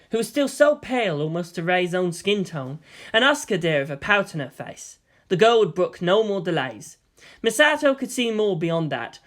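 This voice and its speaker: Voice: medium pitch, a bit bright. A narrator giving a dramatic scripted reading of a story.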